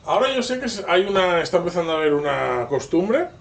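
A man's voice: high voice, very animated, quick pace, gravelly, echoey room. A man with an accent from Spain hosting a casual cooking video in a home kitchen, chatty and curious, asking eager questions while recorded on a 360 camera.

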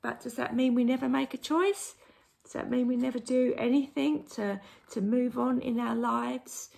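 A woman in her forties talks through a tarot reading into her phone at arm's length in a room at home. Her voice is hoarse and gravelly with a creaky edge. She sounds gentle and reflective and keeps asking rhetorical questions. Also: on the high side, fairly even intonation, moderate pace, a little hiss or hum. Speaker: tarot reader. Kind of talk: casual tarot reading to camera. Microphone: phone camera at arm's length.

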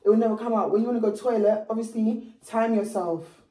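A woman's voice: fairly high pitch, brisk, far from the mic. Give me a brash young woman chatting to camera in an echoey room. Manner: loud, laughing, swearing banter. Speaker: vlogger. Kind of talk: casual chat.

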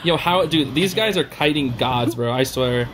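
A man giving casual, slangy live reaction commentary in an excitable, giggly, exclaiming manner. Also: mid-range voice, normal speed. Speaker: host of a reaction video.

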